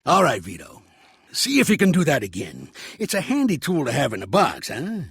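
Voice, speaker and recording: An actor playing a gruff, streetwise older mobster in a video game, with an American accent, a raspy voice and a blunt, slangy, commanding way of talking. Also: male voice, fairly high pitch, huge pitch swings, moderate pace, fairly bright tone, dry recording, clean studio sound, heavily acted. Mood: fatherly, steady. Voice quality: raspy, gravelly. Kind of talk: video game character acting.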